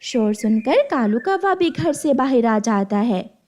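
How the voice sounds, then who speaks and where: A woman's voice: a little high, very animated, a little slow, a little mellow. A woman telling a scripted children's story as its narrator.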